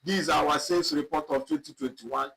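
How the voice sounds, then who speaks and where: A man teaching a live online spreadsheet lesson, talking slowly and repeating himself as he clicks through data. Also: fairly high pitch, animated, vocal fry, sharp tone, breathy edge. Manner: slow, repetitive, thinking aloud while clicking. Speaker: instructor. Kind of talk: live online software tutorial.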